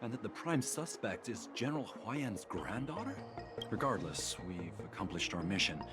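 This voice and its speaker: A proud stern delegate man in a game, kinda low voice. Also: sing-song, normal speed, over music. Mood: indignant, proud. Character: proud, stern male delegation official defending his heritage. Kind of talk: video game voice acting.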